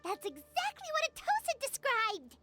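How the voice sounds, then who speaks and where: Squeaky, excitable little fairy girl. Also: normal speed, faint music behind, full character voice. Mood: excited. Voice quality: squeaky, childlike. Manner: exclamatory, eager, chattering. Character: small excitable childlike floating fairy companion, female.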